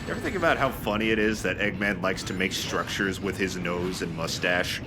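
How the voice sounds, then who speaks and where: A man's voice: low voice, fairly quick, noisy background. A man co-commentating on a video game with casual, joking banter. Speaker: let's play co-commentator. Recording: game music behind the voice. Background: video game music and effects.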